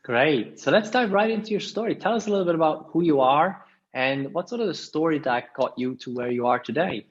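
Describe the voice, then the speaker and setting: A man's voice: fairly high pitch, expressive, a little fast, lo-fi sound. A man hosting a podcast interview, welcoming his guest and asking questions with plenty of fillers. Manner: friendly, uses fillers like "you know". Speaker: podcast host.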